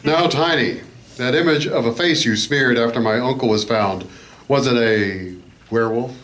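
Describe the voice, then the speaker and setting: Man playing a calm adventure hero, mid-pitched. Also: normal speed, close to an everyday voice. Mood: probing. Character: calm, polite, authoritative male adventure hero. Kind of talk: live radio play acting.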